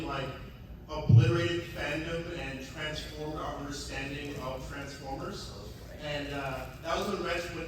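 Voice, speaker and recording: A man's voice: on the high side, far from the mic. Guy fan asking a rambly question, says like a lot, echoey noisy hall. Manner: rambling, frequent "like". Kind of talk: casual spoken question. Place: large room at a fan convention.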